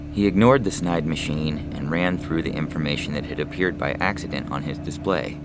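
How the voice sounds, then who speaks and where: A man reading a scripted science fiction story as narrator, measured and dramatic in delivery. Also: a bass voice, very noisy. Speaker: narrator, reader. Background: loud music.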